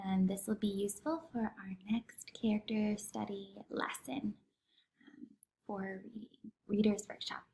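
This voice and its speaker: A woman reading a children's story aloud in an expressive, teacherly way, acting out the dialogue. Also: medium pitch, unhurried, vocal fry, echoey room, slight background noise. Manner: animated, acts out dialogue.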